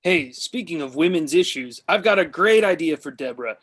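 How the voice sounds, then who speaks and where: A man's voice: on the high side, lively intonation, fairly quick, a bit bright. A man playing a pushy, deluded washed-up actor with an earnest, self-important manner in a comedy table read. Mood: eager, pitching. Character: Drama, pushy deluded male washed-up actor pitching ideas.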